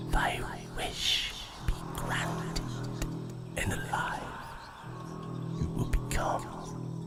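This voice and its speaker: An actor playing a sinister, seductive Dark Lord spirit in an audio drama, speaking in a breathy, menacing, drawn-out whisper. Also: male voice, medium pitch. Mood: incantatory, ominous.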